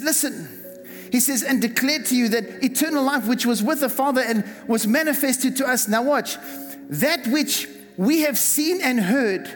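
A South African pastor preaching a fervent sermon, repeating words and calling on the congregation to respond. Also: male voice, extremely high pitch. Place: church hall. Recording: reverberant hall with music behind. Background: music.

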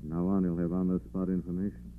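A suave gentleman sleuth acted by a man speaks in a lowish voice with dry, commanding wit. It is 1940s radio drama on a tinny, narrow-band recording. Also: little pitch movement, quick pace, slight background noise, natural voice. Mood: dryly wry.

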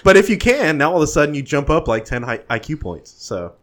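A man's voice: fairly high pitch, big pitch swings, fast, a bit bright. A man from Texas, joking around animatedly in casual chat among friends.

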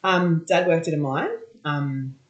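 A woman's voice: low-pitched, unhurried. An Australian woman in her thirties, a podcast guest, talking casually and laughing often. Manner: chatty, laughs often, many ums and yeahs. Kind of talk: casual interview talk. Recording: roomy echo.

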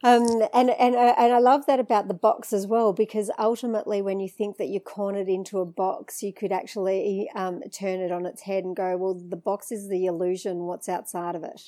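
A woman's voice: mid-range voice, little pitch movement, moderate pace, hardly any pauses, warm tone. A woman hosting an interview podcast, encouraging and thoughtful as she muses aloud. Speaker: podcast host. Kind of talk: casual interview chat.

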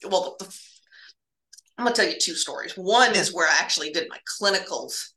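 A woman casually telling spooky stories from her hospital laboratory work, halting and repeating words as she goes and acting out bits of dialogue. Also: on the low side, lively intonation, bright, forceful tone, echoey room, low-quality recording.

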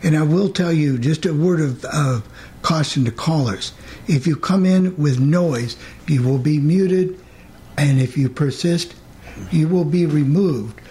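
A man's voice: a little high, measured pace, warm tone. Man hosting a casual radio show. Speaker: host of a radio hobbyist show. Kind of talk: casual live panel hosting.

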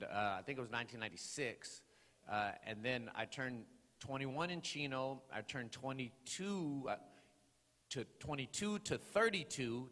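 A man in his forties, from the Los Angeles area, preaching his testimony to a congregation in a church sanctuary, talking loosely with many fillers and rising into loud preaching bursts. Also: mid-range voice. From Los Angeles area, California. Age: 40s. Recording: long hall reverb.

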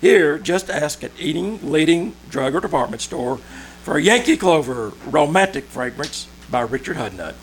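A man reading a 1940s-style radio commercial as announcer, with deliberate, emphatic salesmanship over faint hum. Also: on the high side, expressive, low-quality recording.

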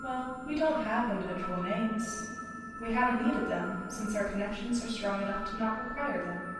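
A woman playing a calm, nurturing alien from a collective mind in a sci-fi audio drama, formal, measured and reassuring, with heavy reverb. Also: medium pitch. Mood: warm, encouraging. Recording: cavernous long reverb, distant, music underneath.

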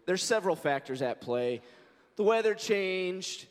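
Man, 40s, pastor, hoarse scratchy voice, earnest conversational preaching, high-ish voice, long church reverb. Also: animated, a little slow. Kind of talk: sermon with prayer and scripture reading. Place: church sanctuary.

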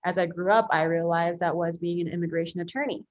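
An American woman attorney answers as a podcast guest in a lowish voice. She tells her story earnestly and sincerely, with frequent ums and you-knows. The audio is slightly dull and lo-fi. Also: fairly even intonation, fast talker. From United States. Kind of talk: podcast interview.

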